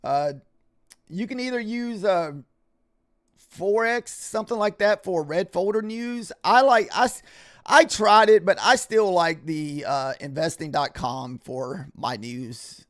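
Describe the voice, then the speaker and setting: A man hosting a trading live stream, chatting loosely with viewers and clearing his throat, his voice a little scratchy from talking all week. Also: sits high, a little slow, a bit bright, no echo, clean studio sound. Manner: rambling, chatty, talks to viewers in chat. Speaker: trading livestream host. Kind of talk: casual live-stream chat.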